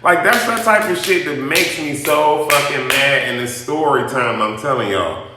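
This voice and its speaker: A man's voice: medium pitch, moderate pace, heavy background noise. A man telling a personal story to an audience in a casual, blunt, emphatic rant full of swearing. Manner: emphatic, blunt, profanity-laden, addresses listeners directly. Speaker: storytime host addressing an audience. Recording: very echoey room.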